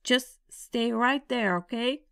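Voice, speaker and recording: A woman's voice: fairly high pitch, slow pace, gravelly, fairly bright tone, no echo, studio quality, full character voice. A woman playing a nervous, affectionate young bride-to-be in an ASMR girlfriend roleplay, with a tender, intimate delivery. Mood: alarmed, scolding. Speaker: roleplay audio performer.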